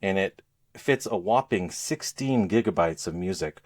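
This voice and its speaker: A man with a low-pitched voice talks as a podcast co-host in casual conversation. He goes along haltingly, feeling for the right word as he explains. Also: expressive, darkish tone. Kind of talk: casual chat about gadgets.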